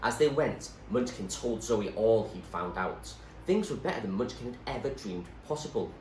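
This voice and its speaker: Male story narrator, lowish pitch, very animated intonation, emphatic storytelling, roomy sound with slight noise. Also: moderate pace. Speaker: teacher reading a novel aloud. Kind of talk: scripted story narration, read expressively. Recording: roomy echo, faint background noise.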